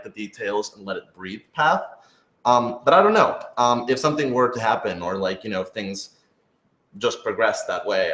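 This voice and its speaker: A man's voice: fairly low pitch, a bit bright. A young American man, a director, answering questions casually in a discursive, emphatic way, repeating his phrases. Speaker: director and writer of a radio drama. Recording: echoey room.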